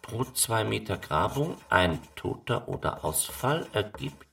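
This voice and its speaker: An actor playing a callous camp overseer in a dramatised documentary monologue, detached and matter-of-fact. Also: male voice, lowish voice, big pitch swings, measured pace, gravelly, fairly bright tone, exaggerated voice.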